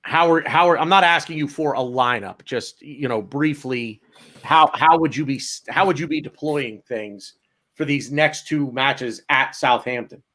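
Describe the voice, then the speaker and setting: A man's voice: mid-range voice, moderate pace, sharp tone. A man hosting a football podcast in casual chat, playful and teasing, asking long questions. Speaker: podcast host.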